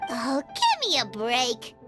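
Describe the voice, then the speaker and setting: Tiny cheeky game fairy, super high squeaky kid voice, swings all over, music behind. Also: female voice, wildly swooping pitch, slow pace, full character voice. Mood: exasperated. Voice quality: squeaky, childlike. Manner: blunt, exclamatory, teasing. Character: tiny childlike floating fairy companion, cheeky and excitable. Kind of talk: video game character voice acting.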